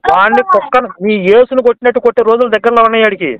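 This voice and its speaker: A man in a heated phone argument, aggressive, abusive and quick to interrupt, on a lo-fi call recording. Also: extremely high pitch, lively intonation, crowd noise. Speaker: caller in a heated phone debate.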